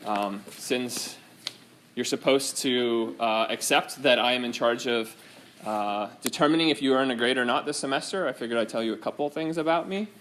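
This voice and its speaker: A man's voice: medium pitch, moderate pace, fairly bright tone, slight background noise. A man teaching a chemistry class in an informal, hesitant lecture style with many ums. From United States. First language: Swedish. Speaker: chemistry instructor.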